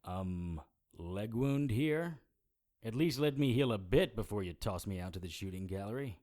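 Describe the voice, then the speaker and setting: An actor playing a wry, hard-boiled supernatural investigator in an audio drama, with deadpan, sardonic noir delivery. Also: male voice, lowish voice, normal speed, lightly acted. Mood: dry, calm.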